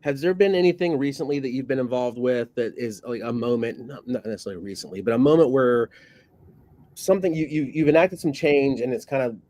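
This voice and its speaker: A man's voice: mid-range voice, a little fast, dark tone. A man hosting a podcast interview, enthusiastic and complimentary, often repeating and restarting his words. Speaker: podcast host.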